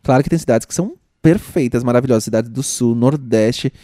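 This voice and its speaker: A man's voice: medium pitch, brisk, warm tone, no room sound, studio quality. A young man with a São Paulo accent, chatting casually and animatedly, full of slang and gushing repetition. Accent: São Paulo Portuguese. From Brazil. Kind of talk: casual chat.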